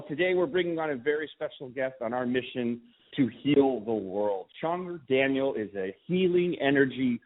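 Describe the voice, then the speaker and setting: A man hosting a podcast, introducing guests and asking questions in a conversational way. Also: medium pitch. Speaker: podcast host. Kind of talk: podcast interviewing. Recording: thin phone-like sound.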